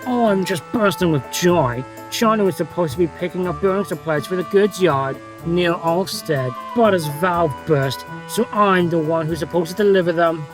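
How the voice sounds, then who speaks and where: A man's voice: high-pitched, normal speed, few pauses, music in the background, close to an everyday voice, rough recording. A man playing a grumpy, devious diesel engine in a children's railway story, sarcastic and boastful in manner.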